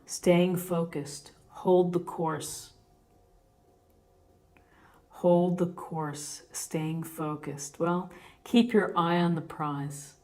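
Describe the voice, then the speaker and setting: A woman giving an unscripted tarot reading, explaining the cards with gentle encouragement and deliberate pauses between phrases. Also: on the low side, steady tone. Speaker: tarot reader, host.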